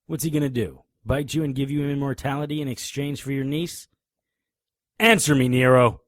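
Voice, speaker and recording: A man's voice: medium pitch, steady tone, normal speed, dry recording, close to an everyday voice. An actor playing a tough, righteous male private detective in an audio drama, confrontational and sardonic. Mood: confrontational, accusing. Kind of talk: hardboiled audio drama acting.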